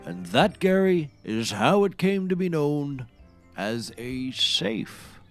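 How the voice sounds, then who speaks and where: A man's voice: a little high, very animated, slow pace, faint music behind, heavily acted. An actor playing a grandiose woodsman obsessed with seafaring, declaiming nautical bombast in a scripted comedy. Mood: grand storytelling. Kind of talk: scripted comedy audio acting.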